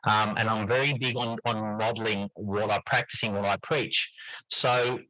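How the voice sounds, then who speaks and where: A man's voice: on the low side, steady tone, normal speed, bright, forceful tone, dry recording, rough recording. A middle-aged man answering interview questions in a conversational, reflective and explanatory way. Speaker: interview guest, teacher and writer.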